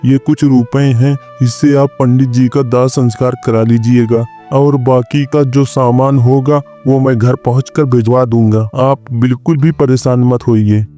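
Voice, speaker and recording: A man performs a wealthy merchant in a cartoon moral tale. He sounds flustered and gullible, with a soft mid-pitched voice and faint music behind. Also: steady tone, measured pace, hardly any pauses, natural voice. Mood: anxious, placating. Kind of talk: Hindi cartoon moral story acting.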